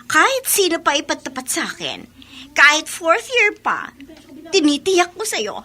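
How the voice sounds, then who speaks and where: Bright, boastful high school girl. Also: huge pitch swings, a little slow, exaggerated voice. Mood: playful, boastful. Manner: boastful, playful. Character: cocky, bubbly, competitive high school girl. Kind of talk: Tagalog radio drama acting.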